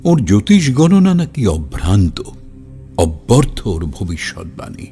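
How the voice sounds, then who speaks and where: A man's voice: on the low side, very animated, unhurried, mellow. An actor playing a man who narrates a horror story in the first person, as one recalling his friends. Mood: storytelling. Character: male friend recounting a fateful ghost story. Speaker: narrator and character in audio drama. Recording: long reverb, music behind.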